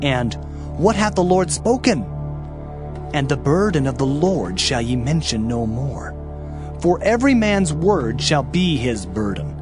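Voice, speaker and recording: A man's voice: medium pitch, big pitch swings, measured pace. A man narrating a dramatized King James scripture reading in a solemn, emphatic, declamatory manner. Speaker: audio Bible narrator. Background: background music.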